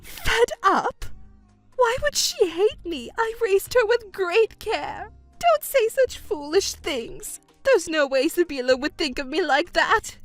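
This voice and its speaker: Actress as a selfish, self-pitying middle-aged stepmother, high and shrill, audio drama. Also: very animated, moderate pace, faint music behind. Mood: indignant. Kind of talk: melodramatic audio drama acting.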